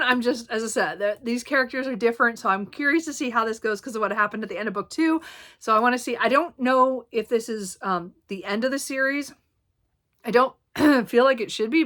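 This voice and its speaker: Female book vlogger, casual and chatty, bright tone, slight hoarseness, meandering delivery full of fillers. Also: a little high. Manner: rambling, many ums and self-corrections. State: slightly hoarse, clearing throat. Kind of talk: casual book haul chat.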